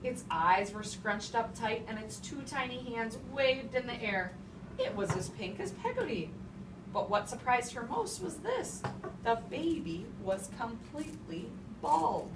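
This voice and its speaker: A woman teacher reading a storybook aloud to young children, pausing to question them and repeat their answers back. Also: fairly high pitch, sing-song. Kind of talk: interactive storybook read-aloud with class questions. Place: classroom with children. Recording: roomy, distant, clearly noisy classroom recording.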